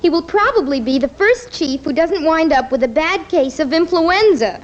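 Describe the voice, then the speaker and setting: Proper, slightly hesitant young daughter, very high voice, muffled old radio sitcom sound. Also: female voice, lively intonation, moderate pace. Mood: reading aloud proudly. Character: polite, earnest young daughter devoted to her father. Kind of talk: 1950s radio sitcom acting.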